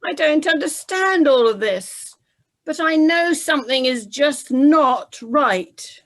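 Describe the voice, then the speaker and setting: A woman voicing a troubled, conscience-stricken male Roman soldier, speaking slowly and earnestly. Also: a little high, sing-song, exaggerated voice, rough recording. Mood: distressed.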